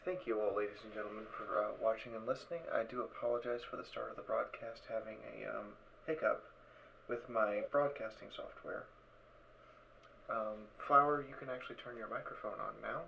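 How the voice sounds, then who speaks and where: A man speaks as the host of an online reading stream, talking casually in a hesitant, rambling way. The sound is muffled and lo-fi, with clear noise in the background. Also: on the low side, steady tone, brisk. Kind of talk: casual livestream chat after a show.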